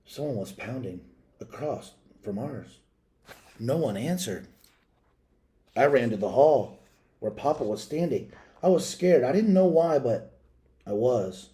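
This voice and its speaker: A man reading a children's picture book aloud as a storyteller, in a measured, dramatic way with pauses and asides to listeners. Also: medium pitch, lively intonation, dark tone.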